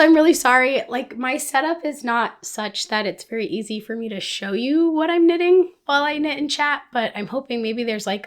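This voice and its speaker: A woman with a somewhat high voice talks casually, like a hobby podcaster speaking to her viewers. She sounds hoarse and a bit sick, as if her voice is only just coming back. Her delivery is informal, rambling and self-deprecating. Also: fairly bright tone. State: hoarse, under the weather with a recovering lost voice. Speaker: knitting podcast host. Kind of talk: casual chat to camera.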